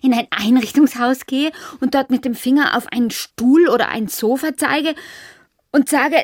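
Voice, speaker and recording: A stubborn detached woman, husky voice, dry close studio sound, radio play. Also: high voice, normal speed, a little mellow, no room sound. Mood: defiant. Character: detached, stubborn woman estranged after waking from a coma. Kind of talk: radio drama acting, introspective monologue and dialogue.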